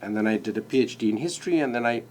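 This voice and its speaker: A man's voice: mid-range voice, lively intonation, rapid-fire, darkish tone, slight background noise. Courteous male diplomat from South Africa. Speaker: diplomat, guest. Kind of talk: interview answers.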